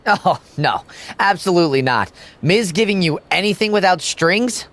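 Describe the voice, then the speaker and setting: A man's voice: high-pitched, expressive, normal speed, fairly bright tone. An actor playing a sly, sarcastic male assistant in a video game. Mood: smug. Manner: smug, sarcastic.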